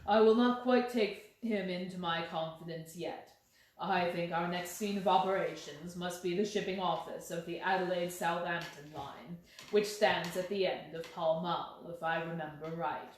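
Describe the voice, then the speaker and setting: The sound is very echoey, with a woman narrator playing a gentleman detective in a storybook reading. The voice is dropped low and speaks with grave, measured courtesy, gently insistent and firm. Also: fairly even intonation, a little hiss or hum, natural voice. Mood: pensive. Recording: very echoey room.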